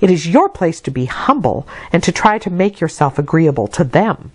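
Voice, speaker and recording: A woman's voice: lowish voice, very animated, moderate pace, a little mellow, husky. A woman voicing a stern, sanctimonious lady's maid in a storybook, scolding in a prim manner. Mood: disapproving.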